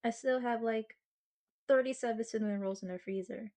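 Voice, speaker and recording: High-voiced, sheepish sweet girlfriend played by a woman. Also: fairly even intonation, dark tone, lightly acted. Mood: playful, earnest. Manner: chatty, halting, bashful with dramatic mock seriousness. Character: sweet sheepish girlfriend, earnest, playfully self-mocking. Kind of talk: ASMR girlfriend roleplay, acted monologue to the listener.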